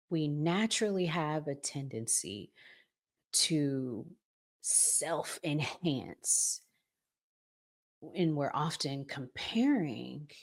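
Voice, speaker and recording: A woman hosting a commentary vlog, talking conversationally in deliberate phrases broken into short pieces. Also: low-pitched. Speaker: host.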